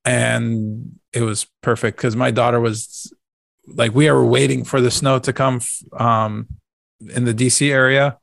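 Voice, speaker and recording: A man's voice: mid-range voice, flat delivery, unhurried, gravelly, fairly bright tone, dry recording, clean studio sound. A man who sounds like he is in his thirties, chatting casually as a podcast co-host. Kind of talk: casual chat.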